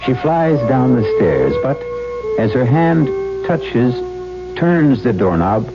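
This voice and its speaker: A man narrating a 1970s radio mystery drama in a measured, portentous manner, as a grave storyteller. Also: mid-range voice, big pitch swings. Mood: ominous, dramatic. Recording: dull 1970s radio broadcast, music behind.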